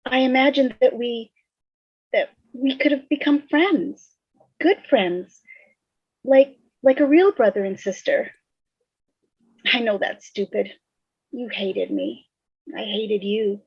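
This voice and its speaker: A woman playing a guilt-ridden, grieving teenage girl in a table read, halting and emotional, over a muffled video call. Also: a little high, gravelly, breathy edge, dry recording, exaggerated voice. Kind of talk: fan table read acting.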